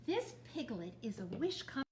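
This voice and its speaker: A woman's voice: fairly high pitch, big pitch swings, normal speed, slight background noise. A woman doing an eager, chirpy little sister. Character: eager fussy younger sister, middle-aged woman.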